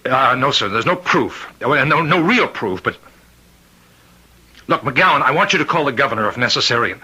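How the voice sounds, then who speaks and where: An actor playing an anguished, weary prison warden in an old radio drama, halting and strained. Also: male voice, medium pitch, expressive, sharp tone, slightly rough, exaggerated voice, low-quality recording. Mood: urgent, pleading.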